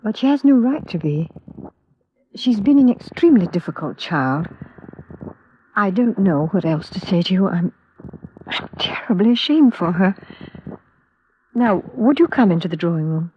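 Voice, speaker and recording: A woman's voice: medium pitch, animated, moderate pace, pauses often, close to an everyday voice. An actress playing a genteel, stern and embarrassed mother in an old-time radio drama, speaking in a proper manner. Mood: mortified, apologetic. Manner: proper, apologetic. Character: genteel, mortified, stern mother of a difficult daughter. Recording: dull, noisy vintage radio recording.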